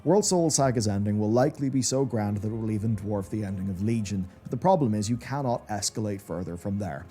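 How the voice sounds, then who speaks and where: A man's voice: lowish voice, lively intonation, fairly quick, hardly any pauses, mellow, faint music behind. A man delivering scripted commentary in the style of a video essay.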